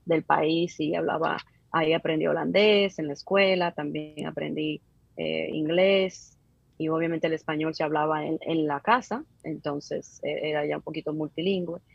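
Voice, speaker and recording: Low-voiced Dominican woman, husky. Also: fairly even intonation, normal speed, no room sound, lo-fi sound. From Dominican Republic. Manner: hesitant, many fillers and restarts. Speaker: guest. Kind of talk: casual interview.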